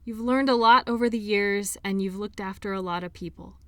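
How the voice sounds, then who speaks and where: A woman acting an earnest, defensive and lonely sports doctor in an intimate audio drama, speaking in an emotional, halting way. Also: medium pitch, fairly bright tone, smooth, no echo, close to an everyday voice. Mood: earnest, wistful. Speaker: audio roleplay performer.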